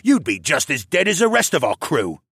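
Man acting a scornful male prisoner, hardened, fatalistic, snapping delivery, slightly raised pitch, audiobook character. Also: wildly swooping pitch, normal speed, creaky, a bit bright, husky, no room sound, clean studio sound, full character voice. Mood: bitter contempt. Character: sneering, contemptuous captured soldier, a hardened fatalistic man.

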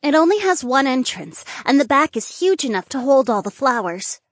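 A woman's voice: high voice, big pitch swings, normal speed, no room sound, clean studio sound. A woman voicing an eager, helpful female demi-human companion in a fantasy audiobook. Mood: helpful, brisk.

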